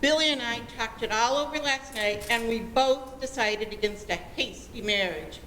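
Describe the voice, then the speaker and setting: Woman playing melodramatic teen daughter, high-pitched, echoey hall. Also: normal speed, fairly bright tone, natural voice. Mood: gushing, dreamy. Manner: gushing, earnest, dramatic. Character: dreamy, melodramatic seventeen-year-old daughter in love. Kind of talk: old-time radio comedy acting. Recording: reverberant hall, faint noise.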